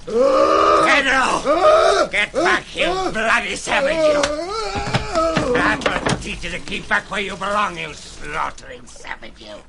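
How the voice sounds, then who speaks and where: A rough, excitable seaman, gravelly and wildly animated. He can fawn or turn savage, in an audio drama with loud water ambience behind him. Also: high voice, very noisy, exaggerated voice. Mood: furious. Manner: obsequious one moment, snarling the next.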